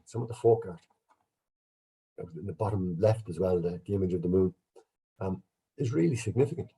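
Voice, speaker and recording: Bunged-up Irish man, dark husky voice. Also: lowish voice. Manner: relaxed, chatty, self-deprecating. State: bunged up with a cold. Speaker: guest speaker, archaeologist. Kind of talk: online lecture with slides. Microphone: video call.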